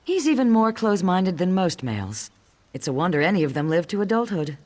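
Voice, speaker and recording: A woman's voice: on the low side, big pitch swings, fairly quick, a little mellow. An actress playing a wry female orc in a video game, mocking and frank. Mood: mocking, amused. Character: wry, sharp-tongued female orc clanswoman. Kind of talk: video game character acting.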